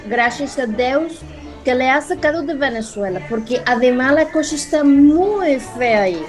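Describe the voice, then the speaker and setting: Actriz haciendo de doctora brasileña de voz aguda. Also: female voice, sits high, expressive, measured pace, soft background music, poor audio. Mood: reassuring. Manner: explanatory, uses Portuguese "você". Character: matter-of-fact foreign woman doctor, reassuring. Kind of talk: radio soap opera acting.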